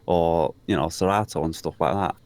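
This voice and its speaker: A man's voice: deep voice, moderate pace, a little mellow. A British man chatting casually as a live stream guest in a rambling way. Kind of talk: casual chat.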